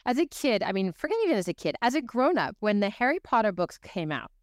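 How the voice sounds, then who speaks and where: Female podcast host, smooth clear voice, animated and chatty, dry sound. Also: medium pitch, fast talker, no room sound. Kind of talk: casual interview conversation.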